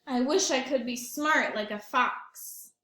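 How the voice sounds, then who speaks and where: A woman's voice: sits high, slow, a bit bright, echoey room. A woman voicing a wishful, discontented little chameleon from a picture book, in an emphatic, longing way.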